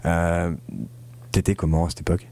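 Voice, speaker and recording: A man's voice: sits low, level tone, normal speed, dark tone. A man hosting a casual conversational interview, asking questions in an informal, bantering way.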